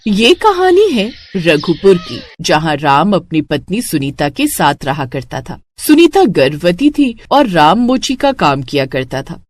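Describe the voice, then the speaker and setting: A woman narrating a children's moral story in a dramatic, expressive scripted style. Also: on the low side, unhurried, hardly any pauses.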